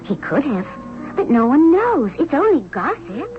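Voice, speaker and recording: An actress playing a gentle, sensible young Egyptian confidante in a 1970s radio drama, earnest, reassuring and theatrical. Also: female voice, high-pitched, big pitch swings, unhurried, phone-quality sound, over music. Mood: cautioning. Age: young adult. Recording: thin, noisy old radio recording.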